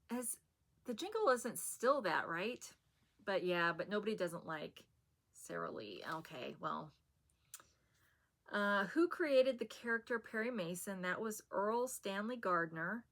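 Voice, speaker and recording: A woman talking casually to her viewers as a haul vlogger, rambling with soft fillers and a soothing voice. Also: medium pitch, expressive, takes it slowly, long pauses. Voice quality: soft and soothing, said to put people to sleep. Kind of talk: casual chat to camera.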